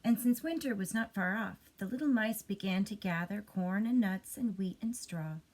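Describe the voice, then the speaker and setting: Husky woman reading a bedtime story. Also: mid-range voice, normal speed, a little mellow, low-quality recording.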